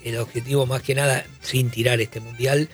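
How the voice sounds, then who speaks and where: A man on a football talk panel, explaining points in a calm way. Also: mid-range voice, normal speed, soft background music. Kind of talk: casual sports talk.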